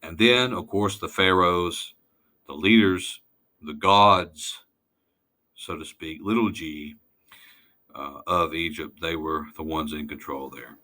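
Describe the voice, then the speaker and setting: Very deep-voiced, unhurried male lecturer. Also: sharp tone. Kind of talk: informal slide lecture.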